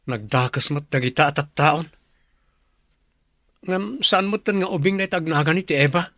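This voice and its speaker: A man's voice: fairly high pitch, moderate pace, a bit bright, no room sound. A man with an Ilocano Filipino accent playing a plain-spoken working man in a drama. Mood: concerned. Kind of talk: TV drama acting.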